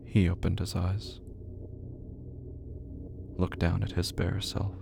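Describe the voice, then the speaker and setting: Very gravelly, hushed horror narrator. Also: deep voice, little pitch movement, noisy background. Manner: hushed, dramatic, breaking lines into short deliberate phrases. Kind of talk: scripted horror fiction narration. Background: music.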